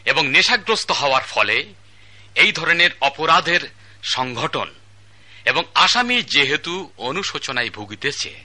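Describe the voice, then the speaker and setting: An actor playing a stern magistrate who reads out the charges and the sentence in formal, old-fashioned court language. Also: male voice, on the high side, big pitch swings, unhurried, pauses often, bright, forceful tone, exaggerated voice. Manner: formal, archaic court diction, calls order. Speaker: judge. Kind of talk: Bangladeshi state radio drama acting.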